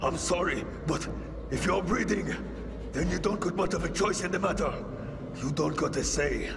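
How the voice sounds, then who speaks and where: A man's voice: a little high, normal speed, gravelly. An actor playing a paranoid armed man who is terrified of a mist and rants with a breaking voice, in a video game cutscene. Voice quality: frantic, ranting, voice breaking. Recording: game audio with reverb and music.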